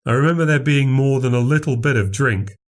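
A man's voice: mid-range voice, fairly quick, pitched above the performer's own voice, natural voice. Guy doing a grumbly fed up dad in an audiobook, indignant. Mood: nervous, doubtful. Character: exasperated middle-aged father with a bad leg. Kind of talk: audiobook character voice.

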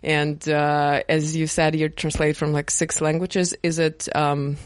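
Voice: mid-range voice, level tone, a little slow, clear, resonant tone, no room sound. Hesitant host. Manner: hesitant, many fillers and restarts. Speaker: podcast host. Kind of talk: casual podcast interview.

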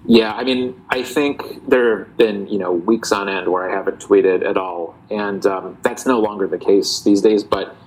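A man, a guest in a casual interview, talking in a relaxed, reflective way with many fillers and restarts. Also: on the low side, a little slow, few pauses, a little hiss or hum, low-quality recording. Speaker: guest, parody account writer.

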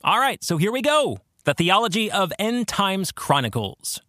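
Bright, animated male podcast host. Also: high-pitched, normal speed, no room sound, studio quality. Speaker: author and former pastor. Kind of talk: solo podcast monologue, conversational yet scripted.

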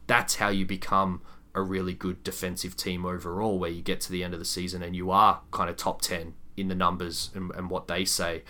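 A man's voice: low-pitched, pushed and bright. A man co-hosting a sports podcast, talking through analysis casually and at length, with explanatory asides.

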